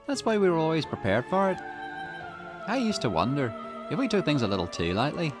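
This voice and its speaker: A man's voice: a little high, huge pitch swings, a little fast, over music. A man voicing a brash, loyal young woman from a video game, with a blunt, teasing manner. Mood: defiant, resolute.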